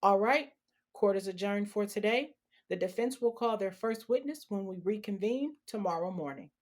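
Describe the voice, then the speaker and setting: A woman playing a brisk, authoritative judge presiding over a court in an audio drama, with formal delivery. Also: mid-range voice, natural voice.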